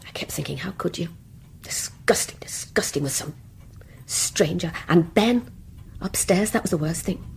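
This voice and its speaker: An actress playing an anxious, guilt-ridden younger British woman in a radio play, confiding in a hushed, hesitant, breathy voice. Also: lowish voice, expressive, moderate pace, whispered. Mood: bitter, disgusted. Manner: tense, hesitant, confessional. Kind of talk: radio play acting with intimate first-person narration.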